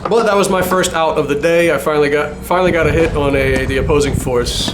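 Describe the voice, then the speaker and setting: A man's voice: on the high side, quick pace, heavy background noise. A man giving casual voice-over commentary on his own paintball game footage, chatty and self-deprecating, with small chuckles.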